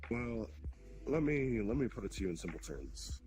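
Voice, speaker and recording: A man's voice: on the low side, sing-song, moderate pace, a little mellow. A man voicing a demon king who explains patiently and bluntly, sometimes swearing, in a roleplay. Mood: calm, explaining. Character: demon king, ancient creator of monsters. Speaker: roleplay player. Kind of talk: in-character roleplay dialogue. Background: background music.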